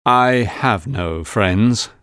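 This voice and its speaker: A man playing a low, reserved English gentleman. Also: animated, a little slow, no echo, studio quality. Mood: sad, resigned. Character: reserved, courteous English gentleman, grave and sincere. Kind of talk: dramatised audiobook dialogue.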